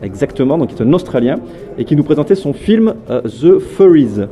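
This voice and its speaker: A man's voice: fairly high pitch, sing-song, brisk, mellow. A man hosting a film vlog at a festival, talking loosely with many fillers and jokes. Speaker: vlog host. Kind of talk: casual film vlog chat.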